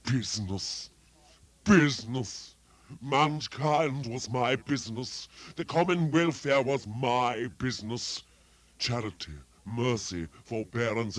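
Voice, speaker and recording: A man voicing the chained ghost of a dead miser in a dramatic reading, with a deep, hollow, moaning delivery. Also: lightly acted. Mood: anguished. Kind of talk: dramatic reading of a classic tale.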